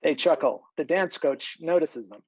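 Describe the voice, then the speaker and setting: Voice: mid-range voice, moderate pace, darkish tone, breathy edge, no room sound. A narrator reading scripted stage directions for a screenplay table read over a video call. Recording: dull, lo-fi video call audio.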